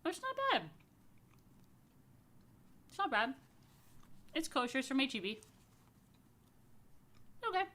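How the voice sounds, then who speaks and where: A woman's voice: high-pitched, sing-song, takes it slowly, pauses often, pushed and bright. A woman speaks as a rabbi hosting story time for young families. She talks casually and directly to viewers, inviting them to take part. Her delivery is playful and informal, with chatty asides.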